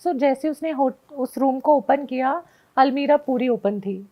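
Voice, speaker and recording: High-pitched woman, casual storyteller. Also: unhurried, dark tone. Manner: mixes Hindi with frequent English phrases. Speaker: guest telling a personal ghost story.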